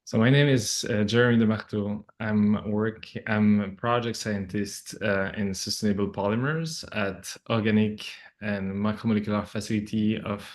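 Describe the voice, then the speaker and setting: A man giving explanatory interview answers as a scientist, in halting, hesitant phrasing. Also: lowish voice, level tone. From Belgium. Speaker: project scientist, interview guest.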